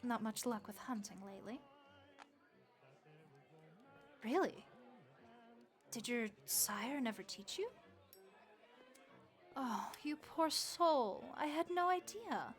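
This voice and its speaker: A woman voicing a friendly, motherly vampire innkeeper who speaks gently and reassuringly to the listener in an audio roleplay. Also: on the high side, moderate pace, faint music behind, close to an everyday voice. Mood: sympathetic, mentoring.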